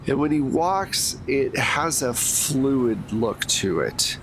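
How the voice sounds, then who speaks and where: A man narrating as game master of a tabletop game, describing scenes in a relaxed way with frequent fillers. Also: medium pitch, slow pace. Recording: noisy background.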